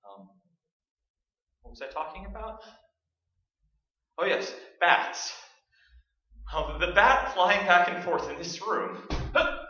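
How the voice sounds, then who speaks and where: Man acting a jittery, high-voiced radio broadcaster, echoey hall. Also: pushed and bright, full character voice. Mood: jumpy. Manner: jittery, rambling, stammering. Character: nervous, chattering radio broadcaster alone in a haunted house. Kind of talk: staged radio play acting. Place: large hall stage, staged performance. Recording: very echoey stage recording from far off, muffled.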